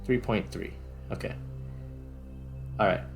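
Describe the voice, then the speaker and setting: A man giving casual gameplay commentary, thinking aloud in short, halting phrases. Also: faint music behind. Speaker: gaming video host.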